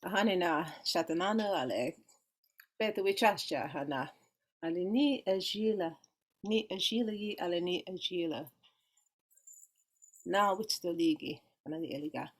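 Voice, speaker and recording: A woman presenter at a ceremony who opens with a rhythmic chanted invocation, then speaks calmly. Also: lowish voice, moderate pace, pauses often.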